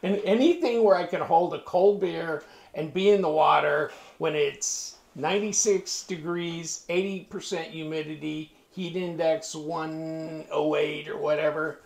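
A man's voice: fairly high pitch, steady tone, a little slow, creaky, darkish tone. An American man in his sixties chatting casually in a folksy, salty, rambling way. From northern United States. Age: 60s. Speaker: knife channel host. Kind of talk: casual chat. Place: small home office indoors. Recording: roomy, echoey indoor sound.

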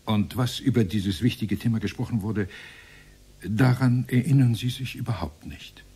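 A lowish, husky male voice as a stern courtroom judge in a radio play. He presses his questions insistently and corrects the answers. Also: normal speed, mellow.

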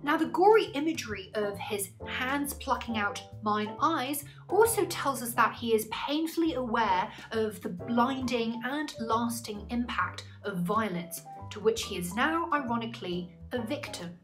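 A woman's voice: fairly high pitch, animated, a little slow, few pauses, over music. A woman in her twenties giving an articulate, scripted literature lesson to camera in a small room. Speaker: English literature tutor. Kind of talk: scripted educational explanation to camera. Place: small indoor room, plain wall.

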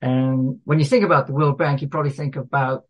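A man's voice: mid-range voice, steady tone, a little fast, highs cut off. An older man from South Wales with a British accent, talking politely and a little hesitantly as an author interviewed on a podcast. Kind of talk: unhurried interview talk.

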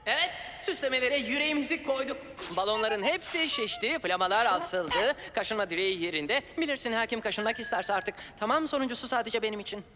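A woman voicing an excitable, affectionate talking cat sidekick with a playful manner in a children's cartoon dub. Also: on the high side, fairly quick, noisy background, natural voice. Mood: busy, chatty. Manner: playful, exclamatory.

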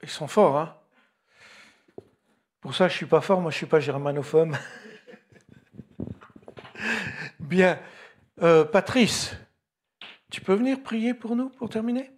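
A man's voice: high voice, animated, takes it slowly, pauses often. An older man leading a church service, joking with the congregation into a handheld mic in a small church hall. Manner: jovial, joking. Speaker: service leader. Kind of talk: casual remarks to a congregation.

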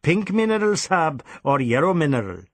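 A man's voice: on the high side, sing-song, brisk, no room sound, voice pushed up. A man putting on a fawning fat sweet-seller. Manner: fawning, salesmanlike. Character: fat, greedy, obsequious male sweet-stall merchant.

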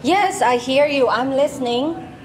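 A woman's voice: sits high, unhurried. A woman playing an exasperated, blunt older immigrant mother in a staged play reading. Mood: distracted, curt. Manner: blunt, dismissive. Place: large glass-walled public hall, on stage. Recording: live stage microphone with background noise.

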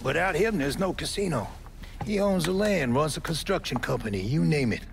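A man's voice: a little high, expressive, moderate pace, gravelly, background noise. An actor playing a weary, blunt older American mob man with folksy, streetwise talk in a video game. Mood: explaining, matter-of-fact. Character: weary, foul-mouthed old mob man. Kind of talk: video game cutscene dialogue.

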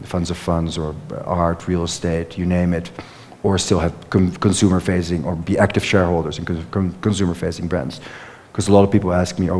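Dutch guy in his 30s on stage, deep voice, lots of uh and um, echoey lecture hall. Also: a little mellow. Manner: fluent, hedging with many fillers and restarts. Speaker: author and former reporter. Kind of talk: onstage conversation answering questions. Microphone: headset mic. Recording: lecture hall with long reverb.

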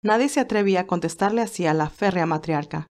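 Low-pitched woman narrating a story. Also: fast, darkish tone. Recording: music behind the voice. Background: classical music.

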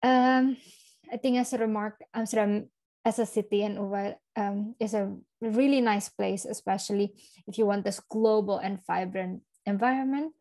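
Young woman from Indonesia, gravelly tone. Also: medium pitch, steady tone, normal speed, dark tone. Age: young adult. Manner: earnest, conversational, frequent ums. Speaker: student. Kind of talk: online info session talk. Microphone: video call.

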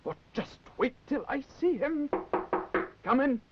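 A man's voice: very high voice, expressive, a little slow, heavy background noise. An actor playing an irritable, blustering middle-aged male boss in a 1960s children's puppet show. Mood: angry. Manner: stammering, blustering, exasperated. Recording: dated, muffled 1960s TV soundtrack.

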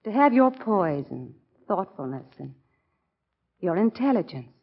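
Gentle american wife and mom, earnest, mid pitch, dull old radio drama sound. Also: female voice, sing-song, measured pace, slightly rough, exaggerated voice. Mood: tender, admiring. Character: coach's kind, admiring wife, a mother. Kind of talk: 1940s radio acting. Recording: dull lo-fi 1940 radio recording.

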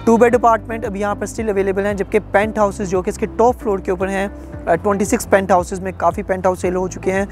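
A man's voice: sits high, flat delivery, fast, faint music behind. Persuasive young Pakistani salesman outdoors. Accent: Pakistani Urdu. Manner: confident, persuasive salesman tone with frequent filler phrases. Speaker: real estate agent. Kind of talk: promotional to-camera sales pitch. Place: outdoors at a construction site.

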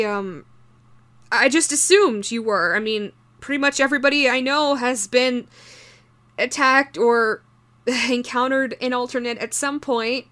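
A woman voicing an obsessive shapeshifting doppelganger posing as someone's ex, speaking haltingly in an intimate horror audio roleplay. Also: on the high side. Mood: flustered, pleading. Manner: halting, stammering, soft-spoken in menace.

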